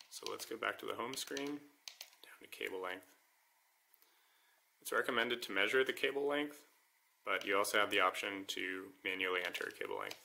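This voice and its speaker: A man calmly walking through how his homemade device works, step by step, in a voice-over demo. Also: on the low side, creaky, a little hiss or hum, rough recording. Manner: calm, methodical step-by-step explaining. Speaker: maker demonstrating his device.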